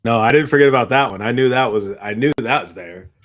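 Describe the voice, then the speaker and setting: A man co-hosting a casual unscripted podcast, talking in a halting, rambling way and often repeating his phrases. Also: medium pitch, a bit bright. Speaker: podcast co-host.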